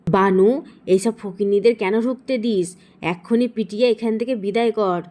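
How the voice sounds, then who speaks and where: A woman's voice: mid-range voice, steady tone, a little fast, warm tone, close to an everyday voice. Lady doing a bossy greedy tiger boss man for a kids cartoon, scolding and blustery. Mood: scolding, irritable. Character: bossy, greedy male tiger village chief. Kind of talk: cartoon story voice acting.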